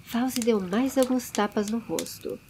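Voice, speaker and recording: A woman's voice: a little high, unhurried, darkish tone, a little hiss or hum. Brazilian lady reading a fantasy audiobook in storyteller style, some ambient sound going on behind her. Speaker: audiobook narrator. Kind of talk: dramatized fantasy story narration.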